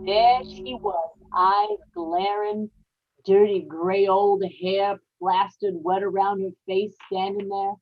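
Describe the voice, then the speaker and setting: A woman's voice: medium pitch, animated, slow, gravelly, lo-fi sound. A woman playing a scheming, guilt-ridden murderous wife in an old time radio horror play, with dramatic, folksy, emphatic delivery. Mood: haunted, confessing. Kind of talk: old time radio horror acting, confessional narration and dialogue.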